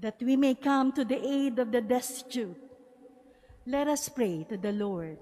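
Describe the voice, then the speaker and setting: Filipino woman lector, mellow tone, slow measured reading, long cathedral reverb. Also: a little high. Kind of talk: reading prayer petitions. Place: large cathedral.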